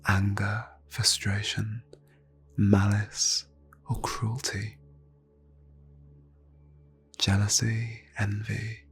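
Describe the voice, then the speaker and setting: A man leading an ASMR guided meditation in a soft, breathy near-whisper, phrasing slowly and soothingly with long pauses. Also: fairly low pitch, little pitch movement, soft background music. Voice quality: breathy, hushed.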